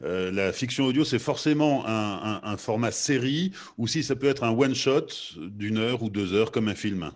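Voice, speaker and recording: A man's voice: medium pitch, animated, normal speed, few pauses. A man, hosting a panel casually and putting questions to the guests. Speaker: moderator, host.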